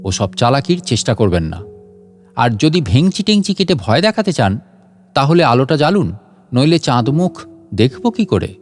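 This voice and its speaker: A man's voice: mid-range voice, big pitch swings, moderate pace, faint music behind. A man playing an elderly, unflappable scientist in a story, chatty, wry and fussily indignant. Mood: defiant. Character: elderly, unflappable, sarcastic, talkative gentleman scientist.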